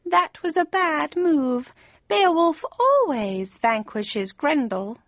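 A woman voicing a grinning, mischievous male Cheshire Cat in an audiobook, with playful, genteel, drawn-out speech. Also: sits high, sing-song, a bit bright, dry recording, lo-fi sound, pitched above the performer's own voice, full character voice. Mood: conspiratorial. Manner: drawling, playful, old-fashioned polite phrasing. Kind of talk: audiobook character voice.

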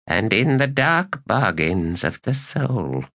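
A man voicing a weary, melancholy old male elder god, speaking in a resigned, slow and measured way. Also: lowish voice, sing-song, fairly bright tone, pitched above the performer's own voice, exaggerated voice. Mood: sorrowful. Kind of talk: audiobook character reading.

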